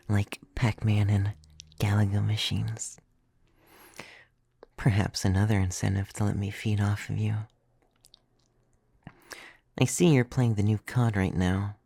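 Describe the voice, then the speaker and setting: Man playing a low, soft femboy vampire, ASMR. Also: no room sound, clean studio sound. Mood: coaxing. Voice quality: soft, breathy, often dropping to a whisper. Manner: intimate, teasing, reassuring. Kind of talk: ASMR roleplay. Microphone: close microphone, dry.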